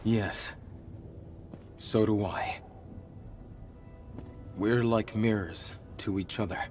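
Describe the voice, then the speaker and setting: A man playing a calm, gravely persuasive visionary in a video game drama, speaking solemnly and deliberately. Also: on the low side, faint music behind.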